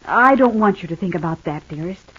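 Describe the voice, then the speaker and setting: An actress playing a devoted, gentle wife recovering in hospital in a 1950s radio soap, speaking tenderly and earnestly. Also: female voice, on the low side, animated, brisk, breathy edge. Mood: earnest, pleading. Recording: dull, band-limited old radio recording.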